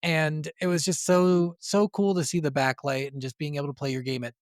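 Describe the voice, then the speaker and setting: A man with an American accent and a somewhat higher-pitched voice talks casually on a podcast. His storytelling is animated and peppered with swearing. The sound is dry, with little room echo. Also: a little fast. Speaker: podcast co-host. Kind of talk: casual chat.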